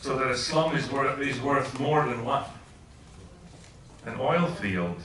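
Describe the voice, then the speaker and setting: A middle-aged man from Switzerland speaks as a visiting professor and keeps the talk informal with questions to his audience. His voice is bright and carries forward. The room is echoey and the mic sits some way off, with a faint hiss underneath. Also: mid-range voice, expressive, takes it slowly, recorded from a distance. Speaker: guest speaker. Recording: echoey room, voice heard from afar.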